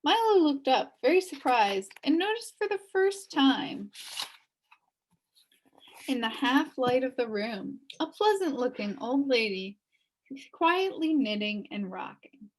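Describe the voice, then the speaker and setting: A woman reading a children's book aloud as an expressive storyteller. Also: sits high, slow pace, low-quality recording.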